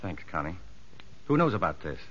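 An actor playing an earnest prison psychologist in a 1950s radio drama, measured and probing. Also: male voice, sits low, big pitch swings, a bit bright, a little hiss or hum. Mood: curious, guarded. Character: earnest male prison psychologist, narrating and talking with convicts. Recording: dull vintage radio recording, music underneath.

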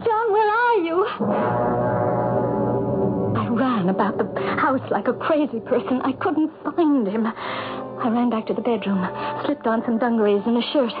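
A frightened, urgent wife in an old radio play. Her voice is high and very animated, heard through a narrow broadcast sound. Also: a little slow. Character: anxious, frightened wife recounting her husband's torment. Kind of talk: 1970s radio drama acting, narration and dialogue. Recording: band-limited old radio broadcast, music behind.

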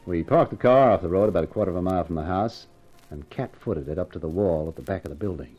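An actor playing a cocky, wisecracking private eye in a 1950s radio detective drama, with a tough, slangy, wry delivery. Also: male voice, low-pitched, lively intonation, fast talker, highs cut off, soft background music. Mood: wry, dramatic. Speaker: lead actor and narrator. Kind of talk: 1950s radio detective drama acting, first-person narration. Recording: noisy vintage 1950s radio recording.

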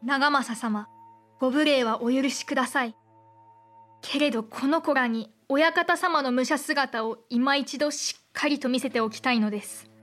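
Resolute noble lady, very high, slow measured voice, archaic courtly lines, soft music behind. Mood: resolute, pleading. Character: dignified, devoted samurai lord's wife and mother. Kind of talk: Japanese period audio drama acting.

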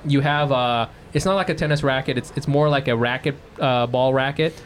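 A man's voice: medium pitch, brisk, a little hiss or hum. A man hosting a podcast in casual chat, loose and rambling, laughing often. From West Coast of the US. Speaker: podcast host.

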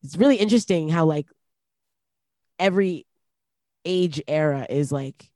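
A woman's voice: sits low, unhurried, warm tone, no room sound. Woman, late twenties, from Canada, interview guest, reflective, filler-heavy, dry close sound. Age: late 20s. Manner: reflective, lots of "like" fillers, laughs easily. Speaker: rapper guest. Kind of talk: casual interview chat.

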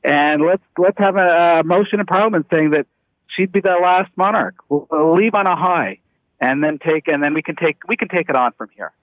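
A man's voice: a little high, normal speed, no room sound, narrow, tinny audio. A man debating as a panelist on a radio talk show, argumentative and opinionated.

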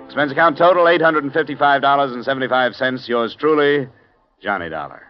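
An actor playing a hard-boiled, wry insurance investigator narrating a 1950s radio drama in a clipped manner. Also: male voice, medium pitch, fairly quick, close to an everyday voice. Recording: dull old radio recording with music.

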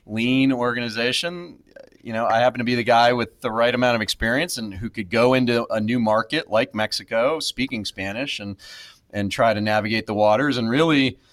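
A man's voice: medium pitch, steady tone, a little fast, fairly bright tone, dry recording. Reflective man in his thirties, dry sound. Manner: reflective, many fillers and restarts. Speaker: guest. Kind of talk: casual interview.

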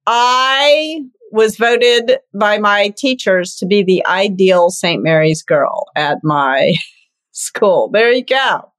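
A woman's voice: mid-range voice, lively intonation, takes it slowly, fairly bright tone. A woman podcast guest telling playful, self-deprecating stories. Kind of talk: casual interview chat.

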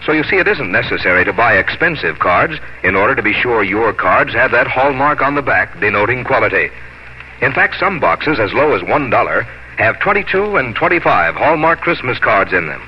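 A man's voice: medium pitch, sing-song, moderate pace. An American man announcing a scripted 1950s radio commercial in a polished, emphatic sales delivery. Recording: dull 1950s radio, faint noise.

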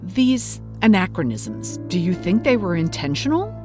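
An actress playing a thoughtful investigator who hosts and interviews in a documentary style, questioning and measured. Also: female voice, lowish voice, big pitch swings, a little mellow, soft background music. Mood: curious, reflective. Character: inquisitive, measured investigator of obscure culture, interviewer. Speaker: host and interviewer.

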